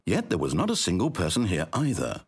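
Fussy British game narrator, hugely animated. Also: male voice, deep voice, a little fast, slightly rough, exaggerated voice. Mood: solemn storytelling. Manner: articulate, wry, melodramatic. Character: fussy, pompous, needy omniscient storyteller of a video game. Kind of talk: theatrical scripted narration.

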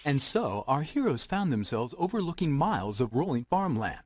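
An actor playing a wisecracking fairy-tale storyteller in a video game, chatty and full of sardonic asides. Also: male voice, mid-range voice, very animated, normal speed, a little mellow, no echo. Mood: amused. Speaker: storybook narrator. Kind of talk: comic storybook narration.

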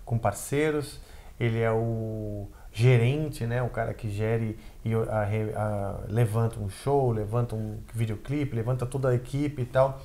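A middle-aged Brazilian man talking as a guest on a couch, an animated storyteller who stumbles and repeats words. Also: medium pitch, measured pace. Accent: Brazilian Portuguese. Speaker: guest musician. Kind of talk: casual interview conversation. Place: indoor living room set on a couch.

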